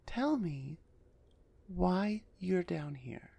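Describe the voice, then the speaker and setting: Female minotaur executioner, played by a woman: slow, raspy half-whisper, gruff, impatient, sardonic, ASMR roleplay delivery. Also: lowish voice, very animated, dark tone, heavily acted. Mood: threatening, impatient. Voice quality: husky, breathy, often half-whispered. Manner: impatient, sardonic, addressing a captive.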